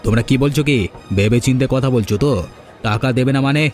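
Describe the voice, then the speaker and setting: A man playing a village boss's henchman in a folk-tale cartoon, pushy and quick to complain. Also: mid-range voice, a little mellow, faint music behind, natural voice. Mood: menacing. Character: village boss's henchman, threatening, then aggrieved and defiant.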